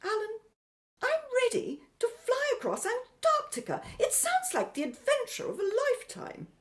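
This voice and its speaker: A woman acts out a spirited middle-aged British sister for an audio drama. Her voice sits very high and leaps up and down dramatically. She is inquisitive and teasing, with an arch, theatrical delivery. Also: huge pitch swings, normal speed, heavily acted. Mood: eager, wry.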